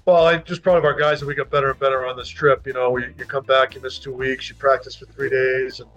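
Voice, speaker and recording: A man's voice: medium pitch, level tone, fast talker, fairly bright tone. A man giving matter-of-fact post-game comments as a coach, on a dull lo-fi clip. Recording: dull lo-fi clip, faint music.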